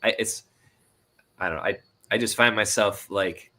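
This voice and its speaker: A man's voice: lowish voice, normal speed, bright, forceful tone. A man chatting casually as an interview guest, hesitantly searching for his words. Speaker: interview guest, indie writer and sound designer. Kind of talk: casual chat.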